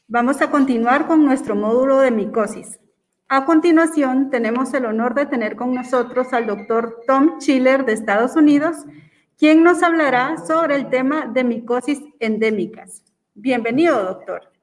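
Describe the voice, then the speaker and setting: High-voiced woman moderating a session. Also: normal speed. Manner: courteous, formal. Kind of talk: formal introduction.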